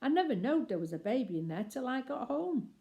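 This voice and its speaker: A woman's voice: high-pitched, very animated, a little fast, creaky, warm tone, highs cut off, pitched above the performer's own voice, exaggerated voice. A woman voicing a friendly, earnest male burglar in a children's storybook, with colloquial working-class speech.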